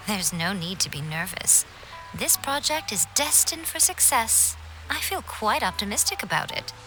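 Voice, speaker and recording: A woman voicing a poised, teasing noble lady, unhurried and playfully condescending, in a video game. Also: mid-range voice, low-quality recording. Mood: composed authority. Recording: music behind the voice.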